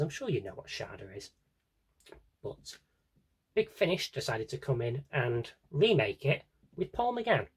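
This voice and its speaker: British man, husky voice, lively swooping pitch, casual vlog chat, rambling, self-correcting, offhand dry humour. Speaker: video blogger showing an audio drama CD collection. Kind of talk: casual unscripted collection tour.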